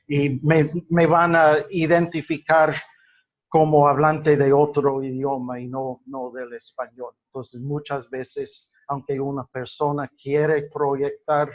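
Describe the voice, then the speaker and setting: A man whose first language is English, giving a classroom lecture in Spanish, halting with many fillers and restarts. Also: mid-range voice, level tone, dull audio. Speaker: teacher.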